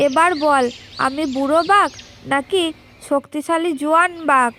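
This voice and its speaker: A woman's voice: sits high, moderate pace, sharp tone, slight background noise. A woman voicing a proud, hot-tempered, gullible old tiger in a children's cartoon folk tale, boastful and blustering.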